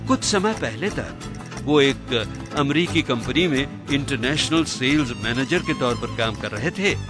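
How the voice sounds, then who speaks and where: A man reading a scripted radio profile with measured, dramatic pauses. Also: fairly high pitch. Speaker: guest presenter reading a profile.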